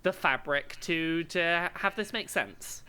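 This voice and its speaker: Bright-voiced, low-pitched woman podcast co-host. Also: slow pace, clear, resonant tone. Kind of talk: casual podcast chat, commentary.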